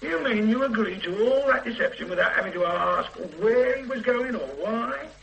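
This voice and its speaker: A man's voice: very high-pitched, normal speed, vocal fry, fairly bright tone, slight background noise, full character voice, poor audio. An actor playing a blunt male police inspector in a radio drama, probing and sardonic, turning threatening when a witness holds back. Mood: pressing. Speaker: police detective questioning a witness. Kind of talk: 1970s-80s radio drama acting.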